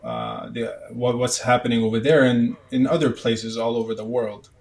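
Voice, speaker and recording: A young man from Canada answering interview questions in a halting way, with many fillers and restarts. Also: mid-range voice, expressive, gravelly. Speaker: guest, medical student.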